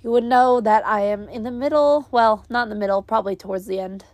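A woman's voice: on the high side, fairly quick, a little mellow, dry recording. Stuffy-nosed young woman rambling cheerfully. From New England, US. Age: young adult. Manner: rambling, chatty, self-mocking. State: stuffy with a cold. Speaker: podcast host. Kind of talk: casual solo podcast chat.

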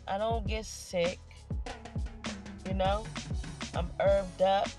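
A stuffy-nosed podcast host rambles on in loose, profane, joking talk while sitting in a parked car at night. The voice sits at a middling pitch. Music plays clearly behind the speech throughout. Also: fairly even intonation, slow pace. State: stuffy nose. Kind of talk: casual solo podcast monologue.

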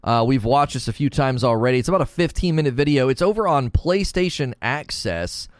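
A man's voice: medium pitch, lively intonation, fairly quick, no echo, clean studio sound. A man hosting a casual livestream, reading out chat messages and rambling with frequent restarts. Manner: rambling, restarts often, self-corrects. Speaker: livestream host.

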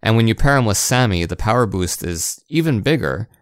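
A man's voice: fairly low pitch, expressive, brisk, no room sound, clean studio sound. A man co-hosting a podcast, chatting casually about video games. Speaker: podcast co-host. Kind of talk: casual video game discussion.

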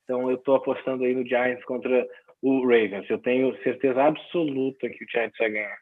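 Casual male co-host, husky, phone-quality audio, loose joking delivery. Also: medium pitch, fairly even intonation, brisk. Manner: joking, rambling with restarts. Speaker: podcast co-host, NFL picks. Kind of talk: casual sports chat. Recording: tinny phone-like line.

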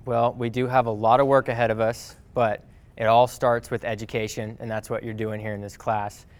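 A young man giving a lively, joking talk to a class in a meeting room. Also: medium pitch, a little fast, clear, resonant tone. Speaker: speaker.

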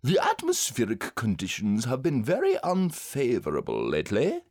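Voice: mid-range voice, wildly swooping pitch, normal speed, a little mellow, no echo, clean studio sound, voice pushed up. A reader voicing a self-important male owl in a children's storybook, grave, wordy and ponderous. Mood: pompous. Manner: ponderous, grave, long-worded.

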